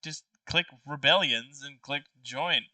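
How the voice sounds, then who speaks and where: A man's voice: fairly high pitch, unhurried, pushed and bright, distant mic. A man chatting casually with friends as he plays a party game. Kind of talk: casual gaming chat with friends.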